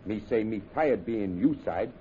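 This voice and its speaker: An actor playing a loyal manservant in an old-time radio serial, speaking in simple broken English. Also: male voice, fairly low pitch, lively intonation, unhurried, mellow. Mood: matter-of-fact. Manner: broken, pidgin phrasing. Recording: thin, tinny, noisy old radio recording.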